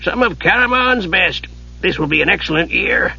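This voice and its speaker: A man's voice: high voice, expressive, normal speed, creaky, sharp tone, slightly rough, muffled recording, voice pushed up, heavily acted. A man voicing a cranky, absent-minded old wizard who mutters and gets easily flustered in a fantasy audiobook. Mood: musing.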